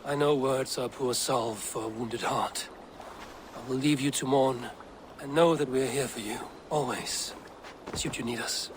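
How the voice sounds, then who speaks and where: An actor playing a steadfast Viking warrior leader with a measured, direct delivery in a game drama. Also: male voice, mid-range voice, steady tone, slight background noise, natural voice. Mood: solemn consolation. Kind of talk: dramatic game cutscene acting.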